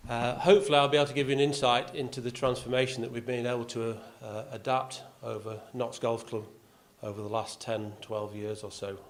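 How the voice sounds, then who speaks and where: A man's voice: medium pitch, flat delivery, fairly quick, hardly any pauses. An older man from Yorkshire with a faint accent, speaking to an audience as a golf club's vice captain, with dry self-deprecating humour and frequent "uh" fillers. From Yorkshire, England. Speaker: golf club vice captain giving a talk. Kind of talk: speech to an audience. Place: clubhouse function room.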